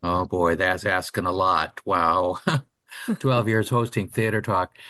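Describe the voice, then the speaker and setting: Low-voiced male radio co-host. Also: fairly even intonation, slow pace, pushed and bright, no echo, clean studio sound. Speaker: radio show co-host and interviewer. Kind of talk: casual radio chat with announcements.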